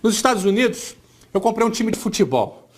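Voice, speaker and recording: A man's voice: sits high, very animated, brisk. Brazilian man in his forties speaking informally. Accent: Brazilian Portuguese. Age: 40s. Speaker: entrepreneur giving a talk. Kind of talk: informal motivational business talk.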